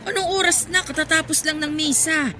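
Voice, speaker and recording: A woman's voice: sits high, fairly quick, bright, forceful tone, a faint crowd. An actress playing a nosy, pious older village woman who pries and admonishes in a Filipino radio drama. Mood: scolding, pious. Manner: prying, admonishing, emphatic.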